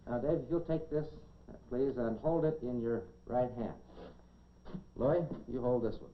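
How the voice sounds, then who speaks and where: A man presenting a science demonstration in a 1950s educational film, in a measured, folksy showman's way with dry humor. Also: medium pitch. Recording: dull, noisy 1950s educational film soundtrack.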